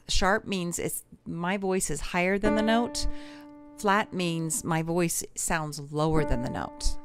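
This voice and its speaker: A woman voice teacher talks viewers through an ear-training exercise in a chatty, encouraging way, and she laughs easily. Her voice sits fairly low. Clear instrument notes play behind her. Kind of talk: live-streamed singing lesson.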